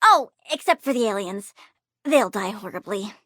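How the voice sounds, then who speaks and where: A woman playing a bubbly, bratty young plant-girl supervillain in a video game, childlike and menacing, flipping between gleeful chirps and sardonic deadpan. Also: medium pitch, moderate pace, no echo, studio quality. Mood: sardonic, sulky. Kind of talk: video game character voice lines.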